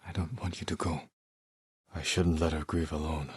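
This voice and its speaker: An actor playing a stoic, honorable samurai warrior in a video game, speaking in a measured, formal and grave way. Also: male voice, very deep voice, level tone, darkish tone, husky, no echo, clean studio sound, heavily acted. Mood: hushed, gentle. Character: stoic, honorable samurai warrior, adult man, solemn and protective. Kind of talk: dramatic video game acting.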